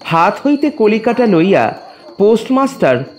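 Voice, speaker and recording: Higher-voiced man narrating a story. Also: very animated, a little mellow, soft background music. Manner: formal, measured storytelling. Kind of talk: scripted literary story narration.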